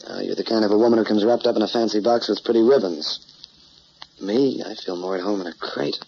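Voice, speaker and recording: An actor with an American accent playing a gruff, sardonic newspaperman with a blunt, wisecracking way in a radio drama. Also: on the low side, fairly quick. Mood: earnest, smitten. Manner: wisecracking, blunt, plain-spoken. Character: gruff, sardonic newspaperman, a rough-edged romantic. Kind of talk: old-time radio drama acting. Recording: dull, hissy old radio recording.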